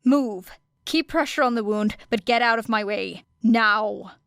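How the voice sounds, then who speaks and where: A woman's voice: a little high, measured pace, creaky, a bit bright, clean tone. A woman voicing a wounded, stubborn girl fighter in an audiobook, talking in an urgent, curt, snappish way. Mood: commanding, urgent.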